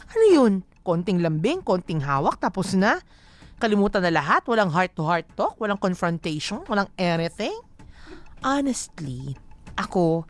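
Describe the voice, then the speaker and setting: A woman's voice: lowish voice, natural voice. A woman playing a stressed letter writer who narrates her relationship troubles in a confiding, emphatic way over music. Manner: confiding, emphatic, rhetorical questions. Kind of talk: dramatized first-person letter narration.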